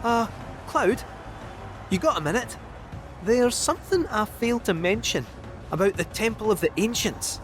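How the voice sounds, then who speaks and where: A man's voice: high voice, expressive, moderate pace, long pauses, music in the background. A man voicing a small, chatty, cagey cat robot sidekick in a game cutscene. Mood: hesitant warning.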